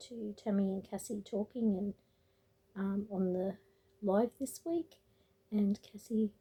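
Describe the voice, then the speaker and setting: Tired, raspy woman rambling softly. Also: medium pitch. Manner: meandering, with frequent ums and restarts. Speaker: crochet vlogger. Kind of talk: casual late-night rambling vlog. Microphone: tablet camera.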